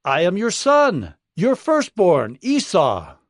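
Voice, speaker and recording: A bitter, wronged son played by a man in a dramatised scripture reading. The voice is strikingly high and animated, drawn out slowly, with an emotional, pleading edge. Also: no room sound, studio quality, full character voice. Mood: eager.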